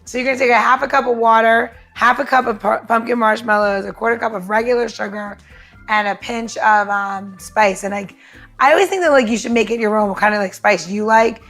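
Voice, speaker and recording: A woman's voice: a little high, brisk, fairly bright tone, soft background music. A woman from New York chatting casually and jokingly as a podcast co-host, explaining recipes in an informal way.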